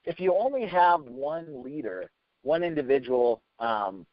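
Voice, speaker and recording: A man hosting a business training call, giving an informal motivational talk with halting, repetitive, hesitant phrasing. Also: mid-range voice, lively intonation, heavy background noise. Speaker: sales team leader hosting a training conference call. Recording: muffled conference phone call.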